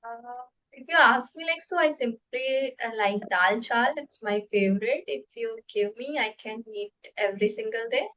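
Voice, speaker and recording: A young woman with an Indian accent leads an English practice chat. Her voice sits a little high, and she speaks in a friendly but hesitant way, filling the gaps with "uh" and "like." She is a native Hindi speaker. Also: moderate pace, sharp tone, poor audio. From Uttar Pradesh, India. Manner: hesitant, many fillers, friendly. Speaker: English conversation practice host. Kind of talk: casual conversation practice.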